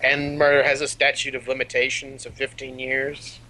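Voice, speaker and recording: A man's voice: medium pitch, completely flat delivery, normal speed, bright, forceful tone, a little hiss or hum, low-quality recording. A young man chatting casually and rambling, with lots of fillers, between game scenes. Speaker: player. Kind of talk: casual chat.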